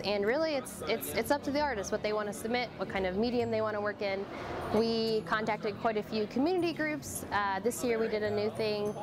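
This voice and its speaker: A young woman organizing an art expo, talking casually in an indoor hall with frequent ums and restarts. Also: mid-range voice. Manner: relaxed, many ums and restarts. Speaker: program organizer. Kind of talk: casual interview.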